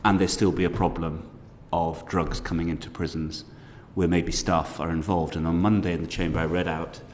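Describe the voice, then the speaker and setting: A low-voiced man asks questions at a formal committee hearing in a measured, courteous way. His voice rings with a long hall reverb over faint background noise. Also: little pitch movement. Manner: measured, polite, hedging questions. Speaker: committee member questioning witnesses. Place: parliamentary committee room.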